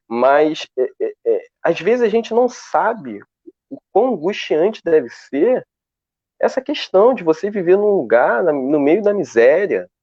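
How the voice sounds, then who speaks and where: A man speaking on a live video call, talking in a lively way with many restarts as he searches for words. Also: fairly high pitch, no echo. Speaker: guest researcher. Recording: dull, dry lo-fi call audio.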